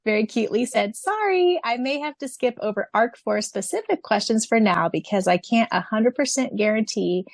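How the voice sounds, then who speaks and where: Woman podcast co-host and audiobook narrator with a mid-range, gravelly voice and a dry sound. Enthusiastic, quick to laugh. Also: a little high, animated, dry recording. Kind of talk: casual chat and reading answers aloud.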